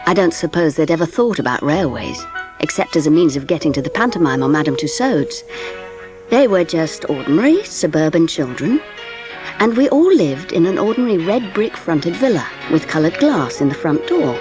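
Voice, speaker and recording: A woman playing a gentle middle-class mother who narrates her family's story in a dramatised audiobook. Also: deep voice, big pitch swings, moderate pace, over music. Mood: reflective, fond.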